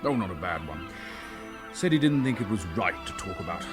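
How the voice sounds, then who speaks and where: An actor playing a tired, easygoing doctor husband at home, casual and affectionate, in a radio drama. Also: male voice, low-pitched, sing-song, fairly quick, music in the background. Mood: relaxed, weary.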